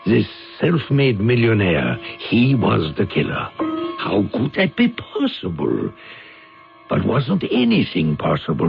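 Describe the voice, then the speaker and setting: An actor playing a suave older count turned amateur sleuth in a radio drama, courtly, theatrical and teasing. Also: male voice, mid-range voice, creaky, exaggerated voice. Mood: brooding, convinced. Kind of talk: 1970s radio drama acting and narration. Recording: dull old radio broadcast with music.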